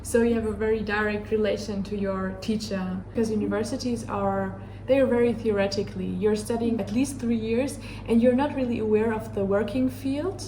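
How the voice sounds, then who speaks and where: A woman's voice: medium pitch, steady tone, a little fast, few pauses, warm tone. A twenty-year-old woman from Germany with a German accent, answering interview questions casually as a student. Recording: roomy echo, faint background noise.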